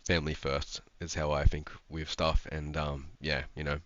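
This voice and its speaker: A man's voice: extremely low pitch, completely flat delivery, normal speed, fairly bright tone, no room sound, muffled recording. A young man, stuffed up from a cold, chatting casually about sim racing with lots of ums and restarts. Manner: rambling, frequent ums and restarts. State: congested from a cold. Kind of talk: casual commentary to camera.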